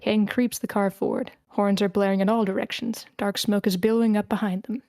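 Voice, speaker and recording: A woman's voice: medium pitch, steady tone, brisk, a little mellow, dry recording. Woman narrating a screenplay, dry sound. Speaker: stage directions reader. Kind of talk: scripted narration at table read.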